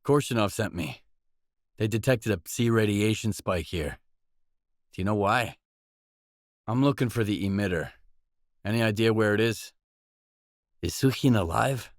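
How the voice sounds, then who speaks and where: A wary stalker from a video game, a blunt loner with a low voice. He talks in terse, matter-of-fact questions and short replies. The recording is dry and close, with no room on it. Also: no echo. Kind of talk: video game dialogue lines.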